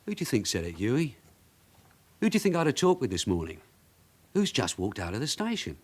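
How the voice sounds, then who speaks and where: A seasoned police sergeant in a radio crime drama. He talks quickly with an animated, shifting pitch, persuasive and wily, always keeping control. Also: husky. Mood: hard, pressing. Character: shrewd veteran London detective sergeant, wily and insistent.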